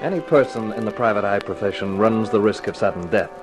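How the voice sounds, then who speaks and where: An actor playing a cool, flirtatious, wisecracking private eye in a 1950s radio detective drama, with wry, slangy, clipped delivery. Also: male voice, lowish voice, fairly quick, natural voice. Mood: wry, terse. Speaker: actor and first-person narrator. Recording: 1950s radio recording with music behind.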